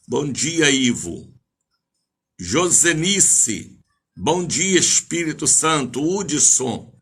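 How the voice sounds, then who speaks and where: A man's voice: on the high side, fairly bright tone, slightly rough. An older man preaching as an evangelical bishop on a live radio service, declamatory, repeating phrases and drawing out names as he greets listeners.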